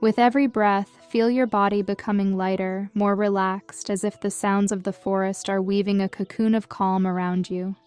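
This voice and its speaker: A woman narrating a scripted guided meditation in a soothing, measured and gentle manner. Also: mid-range voice, flat delivery, smooth. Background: background music.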